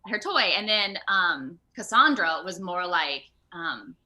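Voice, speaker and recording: A woman with a slightly low, bright voice talks casually as an interview guest. She is chatty and expressive, working out her thoughts aloud in loose, informal phrases. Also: slow, rough recording. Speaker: guest, video game voice actor. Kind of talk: casual animated interview chat. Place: remote video call.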